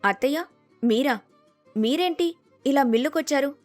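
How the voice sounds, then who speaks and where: A woman playing a sensible, respectful young daughter-in-law who talks calmly and reassuringly in a dramatized story. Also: high voice, moderate pace, no echo, soft background music, voice pushed up. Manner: polite, calm, reassuring.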